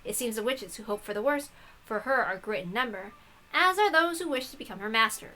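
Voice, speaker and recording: A woman's voice: on the high side, lively intonation, brisk, sharp tone, poor audio. A woman hosting a game playthrough, reading text aloud and adding playful, casual asides. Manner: playful, giggly, swearing asides.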